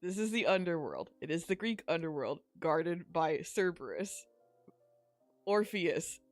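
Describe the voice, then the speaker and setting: A woman's voice: fairly low pitch, lively intonation, unhurried, dry recording. A woman chatting casually as a podcast co-host, making wry, jokey, rambling commentary over a video game. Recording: game music playing underneath.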